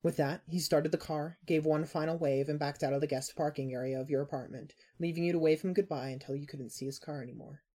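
Female fiction narrator, mellow and husky, scripted storytelling read. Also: deep voice, steady tone, fast talker, few pauses.

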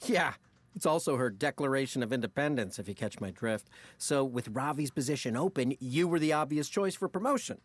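An actor playing a crude, loyal, excitable office best friend in an audio drama, blunt and slangy with a husky voice. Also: male voice, mid-range voice, fairly quick, dry recording. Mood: urgent.

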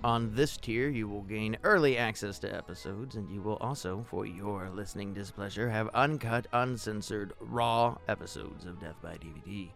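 Low, gravelly male podcast host. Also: moderate pace, few pauses, a bit bright, faint music behind. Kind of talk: scripted podcast announcement.

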